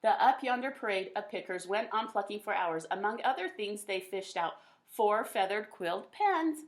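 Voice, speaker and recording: A woman reading a children's picture book aloud in an expressive, folksy storytelling manner. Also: mid-range voice, normal speed.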